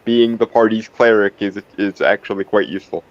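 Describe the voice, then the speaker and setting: A man playing a tabletop roleplaying game, making his points in casual, halting speech that restarts phrases. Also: lowish voice, highs cut off. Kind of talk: casual chat.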